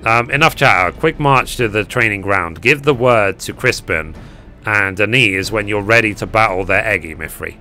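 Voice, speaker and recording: A man with a hoarse voice reading the lines of a gruff male military commander from a game. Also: lowish voice, moderate pace, bright, forceful tone, soft background music. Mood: brisk.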